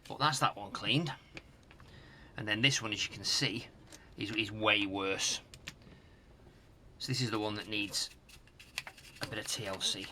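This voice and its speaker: A middle-aged British man giving casual hands-on repair commentary, musing aloud in a halting, hesitant way. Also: on the low side, steady tone, noisy background. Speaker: host of a retro tech repair channel. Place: home workshop loft.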